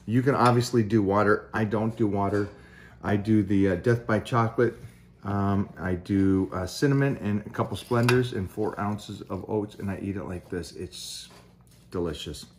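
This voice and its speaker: A middle-aged man in casual chat at his home kitchen counter, calmly explaining his meal plan between bites. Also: fairly low pitch, moderate pace, a little hiss or hum. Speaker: bodybuilder vlogger. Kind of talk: casual chat over breakfast.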